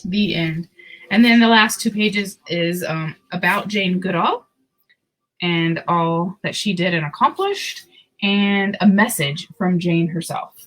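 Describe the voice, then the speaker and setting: A woman's voice: lowish voice, slow pace, a bit bright, rough recording. A woman hosting a picture book read-aloud, telling the story expressively with casual asides.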